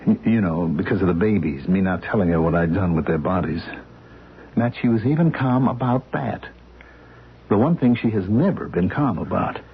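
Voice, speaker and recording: An actor playing an anxious husband who stammers and repeats himself, in a radio drama. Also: male voice, on the low side, breathy edge, exaggerated voice. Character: anxious middle-aged man, husband, frightened and pleading. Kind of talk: 1970s radio drama acting. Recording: muffled lo-fi old radio recording.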